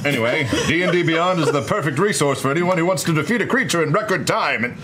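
A man playing a cocky hardboiled private detective in an old-time radio play, with a smug, deadpan delivery. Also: a little high, fast talker, a loud crowd, close to an everyday voice. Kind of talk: 1940s radio acting. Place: studio set at a table.

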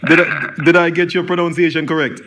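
A man's voice: a little high, steady tone, fairly quick, background noise. A man hosting a radio interview show, asking questions in a hesitant way with frequent restarts and "um"s.

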